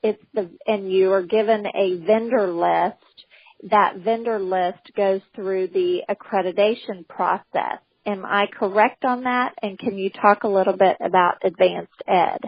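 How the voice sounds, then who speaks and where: A woman from the United States hosting a conference call, putting questions to her guest in a somewhat halting, hesitant way. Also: mid-range voice, steady tone, slightly rough, no echo. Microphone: telephone. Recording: dull telephone conference-call line.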